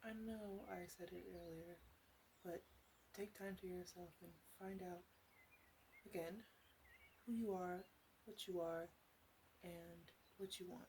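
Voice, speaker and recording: A flirty, doting witch, played by a woman speaks softly in an ASMR roleplay, often slipping toward a whisper. Her voice sits a little low, and her delivery is intimate, gentle and slightly hesitant. Light ambient noise sits behind her. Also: steady tone, takes it slowly, pauses often. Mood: soothing, sympathetic. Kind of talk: ASMR roleplay, soft-spoken with whispered stretches.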